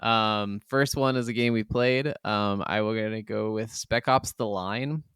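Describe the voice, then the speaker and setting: A man co-hosting a gaming podcast in casual chat, animated and free with swearing. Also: lowish voice, unhurried, clear, resonant tone, dry recording, studio quality. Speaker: podcast co-host.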